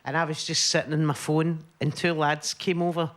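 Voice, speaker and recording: A woman's voice: low-pitched, little pitch movement, a little fast. A woman comedian on a panel show telling anecdotes in a chatty way, with lots of "eh"s as she goes. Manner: chatty anecdote teller with filler "eh"s. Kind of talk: comic panel storytelling.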